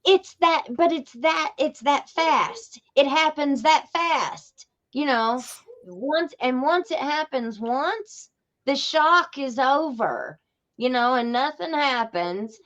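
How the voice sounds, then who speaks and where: A woman's voice: sits high, expressive, slow, a bit bright, muffled recording. A woman with an Appalachian accent telling stories casually on a podcast, folksy and emphatic, often repeating herself for effect. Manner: folksy, emphatic, repetitive storytelling. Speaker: podcast host. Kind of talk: casual true-crime podcast talk.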